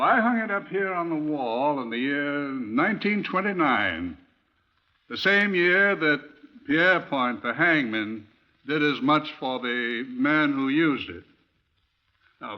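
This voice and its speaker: An actor playing an older British chief inspector who curates a police museum, chatting wryly and digressively as a radio host. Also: male voice, on the high side, big pitch swings, unhurried. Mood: wry, chatty. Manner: conversational, digressive, wry. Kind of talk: 1950s radio drama storytelling. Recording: dull lo-fi old radio recording.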